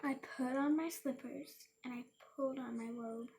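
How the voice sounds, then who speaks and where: A woman's voice: high-pitched, fairly even intonation, moderate pace, darkish tone, slight background noise. A young girl reading a rhyming storybook aloud and chatting casually, stumbling over and repeating words. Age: child.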